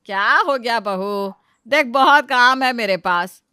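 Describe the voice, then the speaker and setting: Woman doing a bossy sarcastic indian mother-in-law, bright cutting voice, kinda high, comic family drama. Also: takes it slowly, smooth, dry recording, clean studio sound. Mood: brusque.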